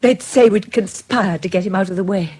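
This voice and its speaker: An actress playing an anxious, devoted British woman in a radio drama, speaking in an earnest, hesitant, breathy way. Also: medium pitch, fairly quick, darkish tone, natural voice. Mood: admiring, subdued. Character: anxious, devoted woman seeking her lover's counsel.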